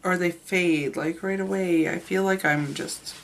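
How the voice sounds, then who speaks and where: A woman's voice: on the low side, fairly even intonation, measured pace, gravelly, background noise. A woman with a stuffed-up, sick voice, chatting candidly to camera about her makeup. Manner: chatty, candid, trails off and restarts. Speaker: beauty vlogger. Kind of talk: casual makeup review to camera.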